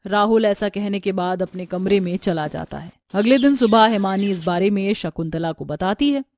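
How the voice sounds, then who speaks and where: A woman's voice: mid-range voice, normal speed, a little mellow, dry recording. A woman narrating a scripted family story as the storyteller.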